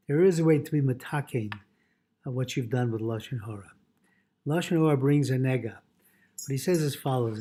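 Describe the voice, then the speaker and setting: A man with a husky voice teaching a religious lesson as a rabbi, haltingly reading from a text, translating and repeating phrases as he explains. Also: mid-range voice, dark tone.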